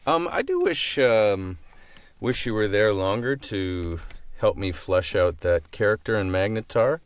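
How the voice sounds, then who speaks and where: Deep-voiced man, halting and thoughtful. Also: lively intonation, clear, resonant tone, no echo. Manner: halting, thinking aloud. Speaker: podcast host. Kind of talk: casual interview chat.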